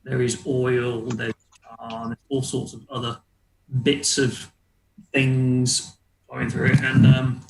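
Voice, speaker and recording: A man's voice: medium pitch, completely flat delivery, slow, gravelly. A man acting as game master, casually improvising narration and descriptions for a tabletop roleplaying game. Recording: roomy echo, faint background noise.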